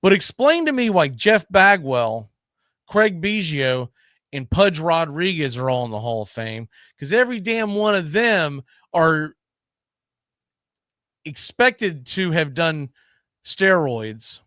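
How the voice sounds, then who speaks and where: A man with a high, forceful voice talks sports casually as a show host. He is argumentative and emphatic, leaning on pointed rhetorical questions. The sound is close and dry, with very little room in it. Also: sing-song, takes it slowly, no room sound. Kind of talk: casual opinionated sports discussion.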